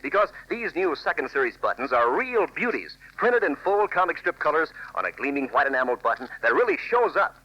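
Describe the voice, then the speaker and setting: Male 1940s commercial announcer: swooping, forceful sales pitch to youngsters, folksy, lo-fi old radio sound. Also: sing-song, brisk, few pauses. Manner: enthusiastic, folksy, emphatic.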